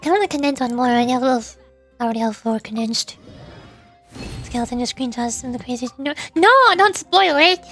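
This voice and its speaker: A woman's voice: on the high side, brisk, faint music behind. A woman livestreamer chatting casually over a game in a playful, theatrical way. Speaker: vtuber streamer.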